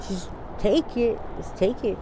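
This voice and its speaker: A woman in her forties from Wyoming, telling her story in a halting, rambling way during an outdoor street interview. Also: mid-range voice, background noise. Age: 40s. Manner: rambling, halting, laughs easily.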